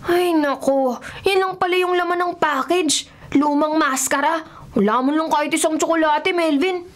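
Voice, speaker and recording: A woman's voice: high voice, moderate pace, natural voice. A woman playing a frightened, curious young boy in a radio horror drama, with an earnest, pleading childlike manner. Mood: disappointed. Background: background music.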